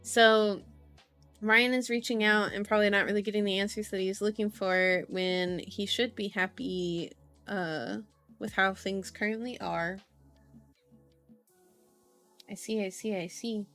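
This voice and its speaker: A woman's voice: mid-range voice, fairly even intonation, moderate pace, fairly bright tone. Chatty woman streamer over music. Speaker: livestream host reading tarot and horoscopes. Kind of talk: casual livestream chat mixed with reading aloud.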